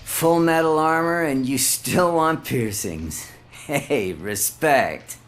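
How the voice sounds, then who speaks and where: An actor playing a surly, mocking tattoo artist in a video game, with a sarcastic, defiant manner. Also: male voice, on the high side, animated, slow pace, faint music behind. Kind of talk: video game dialogue acting.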